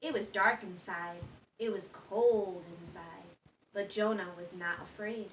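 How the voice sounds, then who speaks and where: A woman's voice: mid-range voice, fairly even intonation, unhurried, roomy sound. A woman reading a children's story aloud expressively, with sound effects and questions to her listeners. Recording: distant microphone with faint background noise.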